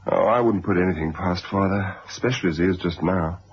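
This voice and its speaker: An actor playing a flippant but affectionate young student son in a radio drama, with a breezy manner. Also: male voice, sits low, quick pace, slightly rough. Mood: breezy, wry. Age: young adult. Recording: dull old radio recording.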